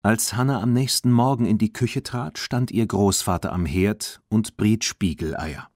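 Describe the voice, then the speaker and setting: A man reading a children's audiobook as an expressive, clearly enunciated scripted narration. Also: lowish voice, measured pace, no echo, studio quality.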